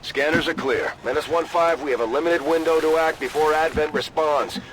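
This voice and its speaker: An actor playing a businesslike military operations officer in a video game, clipped, formal and matter-of-fact. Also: male voice, a little high, fairly quick, a bit bright, slight background noise, lightly acted, poor audio. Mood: brisk, urgent. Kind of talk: video game mission briefing. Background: game music.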